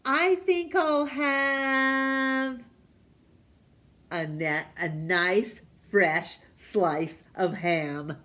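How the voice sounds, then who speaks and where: A woman voicing a lonely, courteous storybook gentleman who speaks with polite, earnest wonder. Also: fairly high pitch, fairly even intonation, very slow, voice pushed up. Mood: polite, friendly. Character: shy, lonely man turning cheerful and courteous. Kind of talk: storybook character voice.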